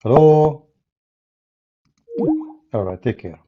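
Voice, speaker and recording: Husky-voiced man hosting a livestream. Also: mid-range voice, lively intonation, slow. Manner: mocking, sarcastic, non-native English phrasing. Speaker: livestream host. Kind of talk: livestream talk with callers. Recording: dull lo-fi stream audio.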